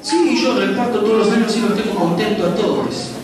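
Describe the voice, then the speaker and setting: High-voiced preacher in echoing hall. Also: male voice, a little fast, noisy background. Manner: rhetorical questions, shouting and repetition. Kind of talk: fiery sermon. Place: large church hall with congregation. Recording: echoey hall with distant mic and crowd.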